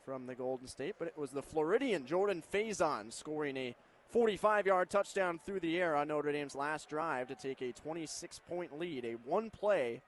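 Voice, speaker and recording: A man's voice: a little high, animated, normal speed. An American man doing live play-by-play commentary of a football game on radio, with stadium noise behind him. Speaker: play-by-play announcer.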